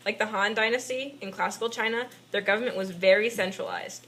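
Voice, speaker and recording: A woman's voice: medium pitch, fairly even intonation, brisk, a bit bright, lightly acted. A woman playing a patient puppet history teacher, delivering a scripted lesson to an unruly class in an amateur show. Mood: instructive. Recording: faint background noise, amateur recording.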